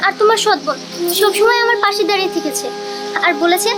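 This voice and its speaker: A woman's voice: extremely high pitch, fast, loud music behind the voice. A woman voicing a long-suffering, devoted young wife in a cartoon drama, earnest and pleading as she explains. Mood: distressed.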